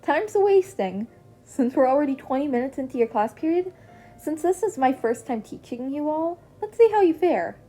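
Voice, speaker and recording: Cast: woman voicing a teasing young ninja hero teacher, higher and bouncy, warm tone, faint music behind. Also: sing-song, normal speed. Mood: upbeat. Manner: playful, teasing. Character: cheerful energetic masked male ninja hero teacher.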